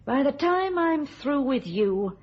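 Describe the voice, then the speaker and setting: An actress playing a bitter, steely widow in a radio thriller, with a British accent and a controlled, deliberate delivery. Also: female voice, sits high, big pitch swings, heavily acted. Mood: bitter, vengeful. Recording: dull lo-fi radio sound.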